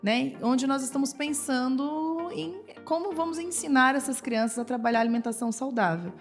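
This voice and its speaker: A woman's voice: high-pitched, normal speed, soft background music. A woman with a Brazilian Portuguese accent, a school nutritionist speaking as a podcast guest and explaining things step by step with frequent tag questions. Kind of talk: casual podcast conversation.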